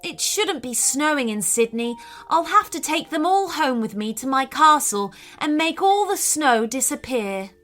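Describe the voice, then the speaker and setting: A woman voicing a regal, gentle Snow Queen in a children's story, speaking in a measured, courteous and proper way. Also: high voice, lively intonation, faint music behind. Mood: gentle, regretful.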